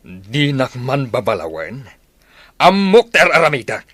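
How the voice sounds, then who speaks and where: Man playing a stern, animated Ilocano father. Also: mid-range voice, unhurried, no room sound. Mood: outraged. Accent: Filipino, Ilocano. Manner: harsh, scolding. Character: stern, angry father who disowned his pregnant daughter. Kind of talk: radio drama acting.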